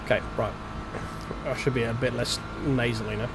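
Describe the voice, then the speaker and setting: A man with a stuffy, blocked-up nose talks his way through a sim race, half to himself and half to his chat. His voice sits in an ordinary middle pitch. Game music and engine noise play clearly behind him. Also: steady tone, moderate pace, rough recording. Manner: chatty, mutters to himself while driving. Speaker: sim racing streamer. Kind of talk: live gameplay commentary. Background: game music and car engine sounds.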